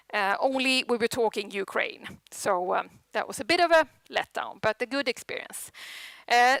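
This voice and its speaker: A woman's voice: a little high, a bit bright, clear, resonant tone, no room sound. A Swedish woman in her late forties with a Swedish accent, giving a chatty, self-deprecating conference talk with many fillers. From Sweden. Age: 40s. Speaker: conference speaker. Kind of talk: conference presentation. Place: conference room.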